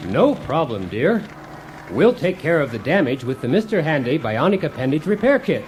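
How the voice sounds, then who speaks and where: A man's voice: a little high, moderate pace, slight background noise. A man playing a chipper, unflappable husband who hosts a comic do-it-yourself radio show in a cheerfully formal instructional way. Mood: chipper, instructive. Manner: cheerfully formal instructional delivery, calm amid disasters. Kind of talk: radio comedy sketch acting, parody how-to narration.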